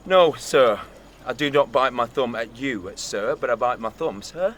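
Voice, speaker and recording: An actor playing a swaggering, bawdy young servant who is spoiling for a fight, with boisterous delivery in a Shakespearean audio drama. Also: male voice, medium pitch, a little slow, a bit bright, a little hiss or hum, natural voice. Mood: goading.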